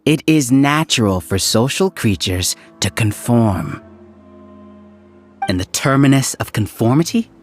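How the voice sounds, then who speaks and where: Smug, theatrical mastermind. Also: male voice, mid-range voice, animated, soft background music. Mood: smug, lecturing. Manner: haughty, deliberate, lecturing. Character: smug, coldly rational male mastermind of a collective mind. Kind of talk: video game villain acting.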